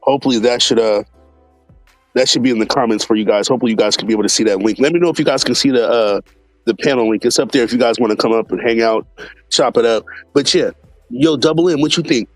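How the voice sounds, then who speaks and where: A relaxed man hosts a casual live stream at a mid pitch, loose and slangy, full of fillers as he greets viewers by name. He sounds a little stuffed up from allergies. The recording is close and dry, with faint music playing underneath. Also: fast talker, no room sound.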